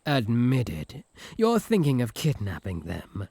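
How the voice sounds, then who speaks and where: A man's voice: medium pitch, huge pitch swings, unhurried, vocal fry, a little mellow, breathy edge, no room sound, heavily acted. A man with a British accent playing a smug, teasing, possessive incubus in a comic audio roleplay. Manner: mocking, flirtatious banter.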